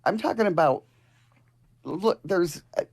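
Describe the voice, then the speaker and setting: A woman in her sixties with a low voice, a stubborn, defensive caller arguing on a call-in show. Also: lively intonation, unhurried, a little mellow, slightly rough. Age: 60s. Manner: stubborn, defensive, talks over the host. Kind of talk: call-in argument.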